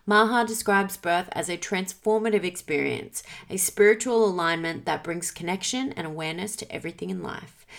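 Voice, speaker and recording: A woman's voice: on the low side, creaky. A woman hosting a podcast, reading an upbeat, friendly scripted introduction. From Australia. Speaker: podcast host. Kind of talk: scripted intro, then interview. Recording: intro over loud background music. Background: loud music.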